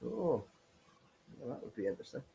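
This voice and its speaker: A man's voice: mid-range voice, big pitch swings, fairly quick, creaky, mellow, slight background noise. A man co-hosting a live stream, chatting playfully with mock-sulky banter. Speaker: stream co-host. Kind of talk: casual live-stream chat.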